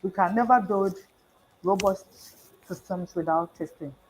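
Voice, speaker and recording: A woman giving a prepared talk at an online event over a video call, measured and pausing on frequent fillers. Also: medium pitch, darkish tone, slight background noise, rough recording. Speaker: guest speaker.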